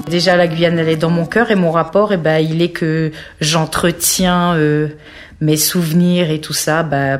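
A woman in her thirties who grew up in French Guiana, speaking hesitantly about her life with frequent pauses and fillers. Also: low voice. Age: 30s. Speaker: interviewee. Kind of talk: reflective personal testimony.

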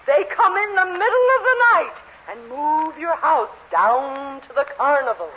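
A bossy matron, tipsy and indignant, in an old radio comedy. Her voice is very high and swoops widely as she exclaims. The recording is muffled and noisy, like 1940s radio. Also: sing-song, a little slow. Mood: tipsy, suspicious. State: acting drunk, groggy. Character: imperious older married woman, tipsy and indignant. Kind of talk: 1940s radio sitcom acting.

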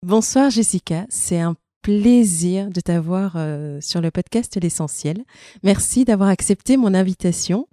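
A woman hosts a podcast interview, leading in with an introduction and then asking her guest warm, eager questions. Her tone is mellow and relaxed, and the recording is close and dry with no room sound. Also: lowish voice, unhurried, no echo. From Belgium. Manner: warm, enthusiastic, encouraging. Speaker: podcast host.

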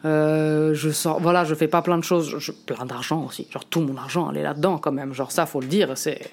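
Halting, frank young man from Italy. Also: on the high side, steady tone. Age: late 20s. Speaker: interviewee. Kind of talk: candid personal testimony.

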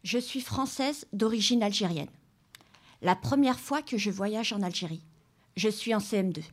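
Woman reader, even and steady, straightforward literary reading. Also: medium pitch, moderate pace. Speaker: reader at a book club radio show. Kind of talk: reading a literary excerpt aloud. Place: public library.

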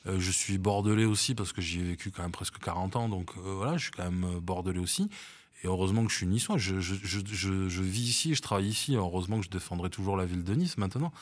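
Hesitant middle-aged French man, very low voice. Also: fast talker. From France. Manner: hesitant, many fillers and restarts. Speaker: cinema manager, interview guest. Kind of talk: casual interview chat. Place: outdoors on a seafront promenade.

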